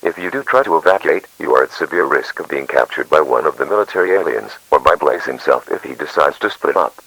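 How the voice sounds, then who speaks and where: A man's voice: very deep voice, animated, quick pace, fairly bright tone, breathy edge, dry recording, poor audio. A man reading a scripted emergency alert as an announcer.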